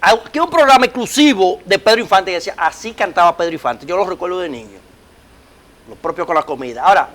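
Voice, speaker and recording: A middle-aged Dominican man with a Dominican Spanish accent hosts a talk show, speaking in a high voice. He is animated and emphatic and often cuts in on his guests. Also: fast talker. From Dominican Republic. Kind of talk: conversational interview.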